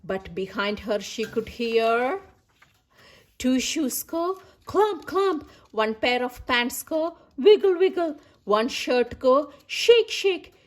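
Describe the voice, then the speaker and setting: High, lively woman reading children's stories. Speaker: children's story reader and host. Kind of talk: animated read-aloud storytelling for children.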